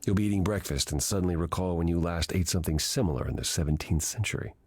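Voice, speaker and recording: Deep, gentle, ancient god. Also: male voice, no echo. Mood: patient, reassuring. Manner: measured, reflective, explanatory. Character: ancient weary god reborn in a man, gentle patient mentor. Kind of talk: video game voice acting.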